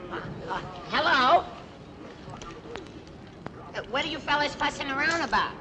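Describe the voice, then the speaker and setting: Squeaky, whiny, childlike cowardly soldier. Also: male voice, big pitch swings, a little slow, heavily acted. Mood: giddy. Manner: babyish pleading and goofy stammering. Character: cowardly, childlike, whiny male army paratrooper, newly made corporal. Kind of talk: slapstick film comedy acting. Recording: noisy old film soundtrack.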